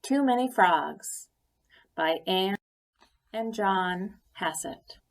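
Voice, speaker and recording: A woman reads a picture book aloud in a medium-pitched voice. She takes it very slowly and deliberately, pausing to give words emphasis in an expressive storytelling style. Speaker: story reader.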